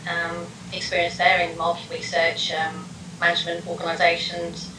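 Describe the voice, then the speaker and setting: A woman's voice: on the low side, completely flat delivery, moderate pace, recorded from a distance. A middle-aged woman with a London accent answering interview questions, friendly but hesitant, with lots of ums as she lists things. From London, England. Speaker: interview guest, personality test practitioner. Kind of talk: casual interview. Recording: echoey room, faint noise, off-mic.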